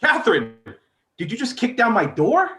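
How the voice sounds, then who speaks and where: A man's voice: extremely high pitch, huge pitch swings, moderate pace, sharp tone, heavily acted. A man acting a flustered, awkward middle-aged office-working husband and dad in a comedy script read, hesitant and sheepish. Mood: startled, incredulous.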